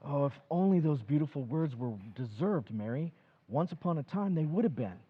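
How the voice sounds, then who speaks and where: A man playing an anxious, fretful middle-aged husband in an old-time radio play, with a husky voice. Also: fairly high pitch, expressive, normal speed, narrow, tinny audio. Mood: remorseful.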